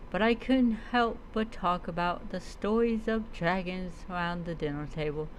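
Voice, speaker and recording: A woman's voice: mid-range voice, a little hiss or hum, rough recording. A woman reading a first-person adventure story aloud as a role-play storyteller, stumbling and repeating words as she goes.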